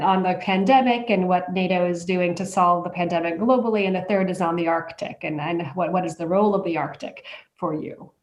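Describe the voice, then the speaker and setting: Moderator woman in an echoey room. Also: mid-range voice. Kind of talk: moderating an online panel.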